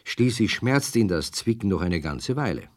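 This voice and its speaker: A man's voice: fairly low pitch, big pitch swings, moderate pace, dry recording, clean studio sound. A man narrating a children's radio story, speaking directly to the young listeners. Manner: warm, addresses children directly.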